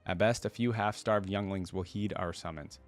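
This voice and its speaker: Man reading as grim elderly priest, low voice. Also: steady tone, normal speed, faint music behind, lightly acted. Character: elderly, grim male serpent-calling priest. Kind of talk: story read aloud.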